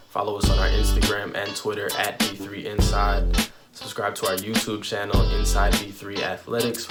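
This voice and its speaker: A young man hosting a casual interview podcast, upbeat and conversational, leaning on "you know" as he talks. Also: lowish voice. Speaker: podcast host.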